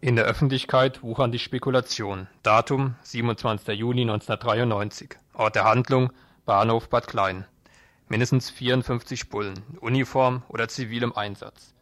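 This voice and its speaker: A man's voice: medium pitch, fairly even intonation, fairly quick, fairly bright tone, no room sound. Dry-sounding male radio news presenter. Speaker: radio host and interviewer.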